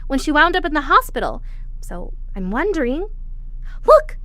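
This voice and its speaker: An eager twelve-year-old girl from an audio drama. Her voice is high and very animated. She explains her clues earnestly, recorded close and dry. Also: moderate pace, dry recording, heavily acted, low-quality recording. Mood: intent, thoughtful. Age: child, twelve.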